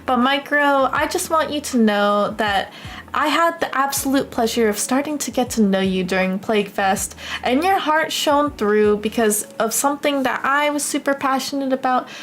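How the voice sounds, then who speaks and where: A young woman from the United States chatting casually on a livestream in an expressive, affectionate, gushing way, as a streamer talking to viewers. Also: high voice, moderate pace. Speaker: live streamer.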